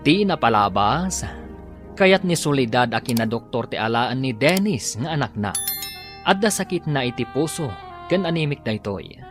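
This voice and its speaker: Male radio drama narrator-announcer, mid-pitched, animated, music underneath. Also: normal speed.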